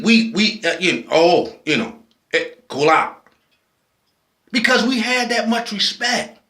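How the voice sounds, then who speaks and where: A man's voice: high voice, sharp tone, reverberant. A man, impassioned and preachy, often restarting his sentences as he speaks his mind in a personal video. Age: 40s. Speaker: vlogger.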